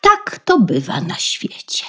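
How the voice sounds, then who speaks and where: A woman playing a proud, protective mother duck in a fairy-tale radio play, bossy and caring as she instructs, with a husky, breathy voice. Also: medium pitch, huge pitch swings, noisy background. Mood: instructing, bossy. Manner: instructing, measured, emphatic.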